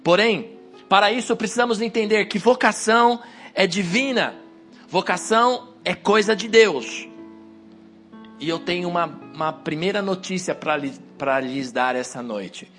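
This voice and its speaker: A man preaching a sermon to a congregation on a handheld wireless mic, hoarse from a cold, exhorting and rising to emphatic peaks. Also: high-pitched, measured pace, a bit bright, soft background music.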